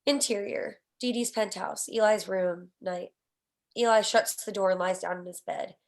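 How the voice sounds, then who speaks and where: A woman reading stage directions at a screenplay table read, with an expressive, wry delivery. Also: mid-range voice, normal speed.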